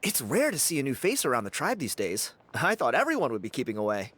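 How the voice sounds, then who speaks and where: Lively, cocky young dancer. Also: male voice, medium pitch, fast talker, husky, heavily acted. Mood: casual. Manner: breezy, self-assured, chuckling. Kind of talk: video game character acting.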